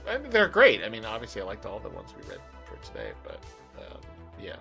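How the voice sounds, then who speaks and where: A man's voice: on the low side, wildly swooping pitch, a little fast, gravelly, pushed and bright. A man speaks as a podcast co-host in a casual, conversational style. He feels his way through his thoughts hesitantly, and faint music plays behind his voice. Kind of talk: casual chat.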